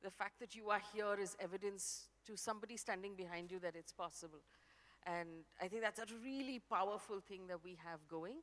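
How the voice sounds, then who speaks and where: A woman in her forties with an Indian English accent, a journalist speaking on stage, articulate and measured. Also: mid-range voice, fairly bright tone. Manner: articulate, measured, light laughs. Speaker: journalist guest. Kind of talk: conference fireside chat. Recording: reverberant conference hall.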